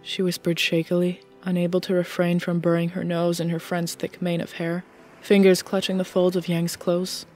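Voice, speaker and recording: A woman's voice: on the low side, completely flat delivery, normal speed, a little mellow, soft background music. A woman reading scripted fiction as the narrator of an audiobook.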